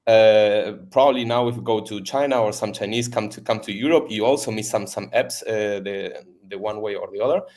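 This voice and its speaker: A man from Argentina with an Argentine Spanish accent, a native Spanish speaker, talking as a podcast guest in a halting way with many fillers and repeats. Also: fairly low pitch, a little mellow. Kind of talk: casual interview chat.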